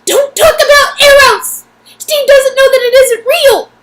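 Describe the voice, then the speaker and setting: A woman's voice: very high voice, a little slow, background noise, voice pushed up. A woman voicing an anxious young woman in love, pleading in an emphatic, emotional way, as in a dramatic game reading. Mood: alarmed.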